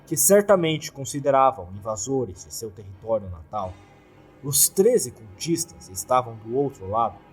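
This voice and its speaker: A man with a Brazilian accent narrates a scripted horror story in a husky, theatrical voice. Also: mid-range voice. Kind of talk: scripted dramatic narration of a creepypasta. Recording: background music under the voice. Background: music.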